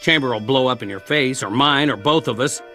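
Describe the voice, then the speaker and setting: An actor playing a weary, talkative survivor soldier in a radio drama, with a gravelly voice and a wry, folksy, rambling way of speaking. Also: male voice, mid-range voice, brisk, bright, forceful tone, soft background music, natural voice. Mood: tense, daring. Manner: folksy, rambling, colloquial monologue delivery.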